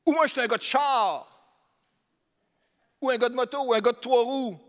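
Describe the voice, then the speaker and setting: High-voiced Québécois pastor in a hall. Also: male voice, normal speed, a bit bright. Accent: Québécois French. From Quebec, Beauce region. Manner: conversational, humorous, asks the congregation rhetorical questions. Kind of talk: sermon. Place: church hall. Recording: long hall reverb.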